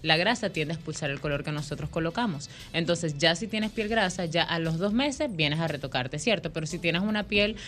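A woman's voice: low-pitched, expressive, fairly quick, few pauses, a bit bright. A woman in her thirties with a Venezuelan accent, a microblading artist telling her life story as a guest on a radio talk show. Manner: chatty, storytelling, laughs easily.

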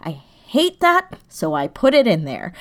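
Animated, self-deprecating woman in conversation. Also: high-pitched, takes it slowly. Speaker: interview guest, audio drama writer and performer. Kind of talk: casual conversational interview.

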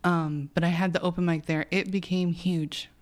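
Brief: thirties woman guest, chatty and self-deprecating, lowish voice. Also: steady tone, moderate pace. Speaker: singer-songwriter guest. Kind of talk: casual podcast chat.

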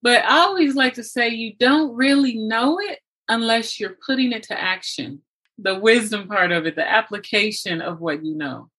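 A woman's voice: on the high side, normal speed, pushed and bright, slightly rough. A woman hosting a conversational motivational discussion, speaking in an emphatic, affirming and instructive way. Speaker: interview host.

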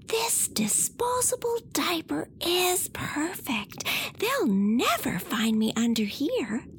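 A woman playing a playful, excitable young sea creature in a children's radio play. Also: very high-pitched, slow, background noise, full character voice. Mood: secretive whisper.

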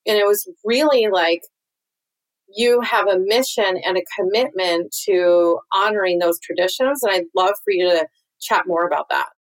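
A woman's voice: mid-range voice, normal speed, poor audio. A woman hosting a casual interview, asking long, loosely strung questions. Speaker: podcast host.

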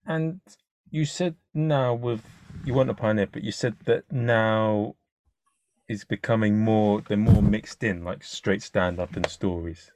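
A man interviewing a guest, asking halting questions with restarts. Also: fairly low pitch, a little mellow, a little hiss or hum. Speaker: podcast interviewer. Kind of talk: casual interview chat.

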